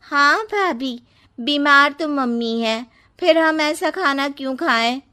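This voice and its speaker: A woman's voice: high-pitched, slow pace, pushed and bright, pitched above the performer's own voice, heavily acted. A woman voicing a pert, whiny young sister-in-law in a storybook style. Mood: whiny, upset. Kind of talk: storybook character voice.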